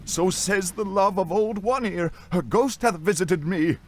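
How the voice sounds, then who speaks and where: A man's voice: high-pitched, a little slow, a little mellow, slight background noise, voice pushed up. A man voicing a frightened, desperate young deckhand boy who pleads in archaic nautical language. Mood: urgent pleading.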